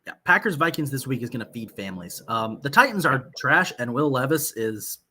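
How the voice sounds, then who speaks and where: A man's voice: medium pitch, sing-song, brisk, bright, forceful tone, husky. A man hosting a sports podcast in casual banter, blunt and freely swearing. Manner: profane, blunt.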